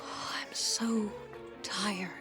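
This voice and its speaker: A woman's voice: mid-range voice, flat delivery, extremely slow, whispered, loud music behind the voice. An actress playing an anxious young woman who mutters back at the voices in her head, in a radio play. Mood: weary. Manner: tense, weary, muttering to herself.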